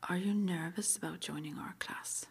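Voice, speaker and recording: A woman speaks in a soft, breathy whisper, fairly low with a creaky edge. She plays an interviewer in an ASMR roleplay, asking questions in an unhurried way with small murmured acknowledgements. There is a faint wash of noise behind the voice. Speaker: interviewer at a witch school.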